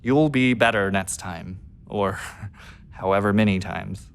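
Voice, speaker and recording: A man playing a gentle, forgiving, lovelorn astronaut crewmate in a radio drama, halting and soft-spoken. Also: fairly low pitch, vocal fry, clean tone. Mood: wistful. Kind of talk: naturalistic radio drama acting.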